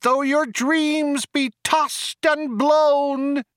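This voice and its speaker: A man's voice: high voice, very animated, a little slow, pushed and bright, clean tone, no room sound, clean studio sound, voice pushed up, heavily acted. A man voicing a relentlessly cheerful ship's computer in a nasal, whining voice, over-familiar and given to crooning. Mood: crooning, wailing. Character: chirpy, relentlessly cheerful male ship's computer, singing mawkishly.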